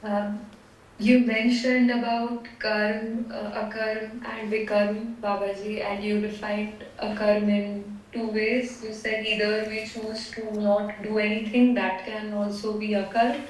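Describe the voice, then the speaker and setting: A woman's voice: mid-range voice, little pitch movement, slow. A woman student putting a thoughtful question in class, phrasing it carefully and hesitantly. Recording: distant, very echoey, faint noise.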